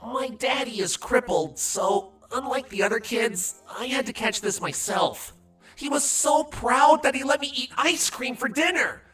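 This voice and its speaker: A woman's voice: a little high, expressive, measured pace, a bit bright, distant mic, soft background music. A woman voicing a proud, innocent young changeling schoolboy in an audio drama. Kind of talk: fan audio drama acting.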